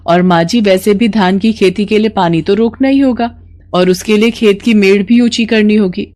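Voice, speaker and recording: A woman voicing an earnest, resourceful young village daughter-in-law, eager and respectful, in an animated story. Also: mid-range voice, moderate pace, close to an everyday voice. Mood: determined. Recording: noticeable background noise.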